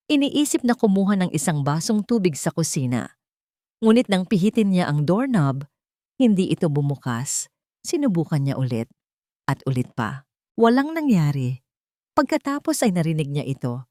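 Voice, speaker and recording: A Filipino woman narrates a dramatic love story in a fairly low voice. Her pitch moves widely as she phrases each line deliberately. The recording is close and dry. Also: mellow, dry recording.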